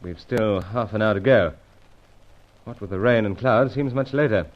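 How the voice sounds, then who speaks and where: An actor playing an unflappable British secret agent, with clipped, understated gentlemanly speech, in a vintage adventure radio serial. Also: male voice, fairly low pitch, normal speed, natural voice. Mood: stoic, practical. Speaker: lead character and narrator. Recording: dull vintage radio sound.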